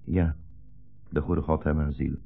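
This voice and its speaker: An actor playing a mild, patient old blind rabbi in a 1960s radio play, speaking politely and with measured precision. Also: male voice, extremely low pitch, little pitch movement, creaky, faint music behind, exaggerated voice. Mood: grave. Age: older. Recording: muffled old radio recording, narrow band.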